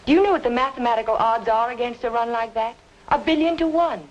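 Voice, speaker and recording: An actress playing an earnest, insistent woman in an old film noir drama, speaking emphatically and deliberately. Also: fairly high pitch, dull audio, lightly acted. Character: earnest, insistent woman reasoning with a troubled man. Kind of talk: mid-century film noir drama acting. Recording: noisy old film soundtrack, hissy.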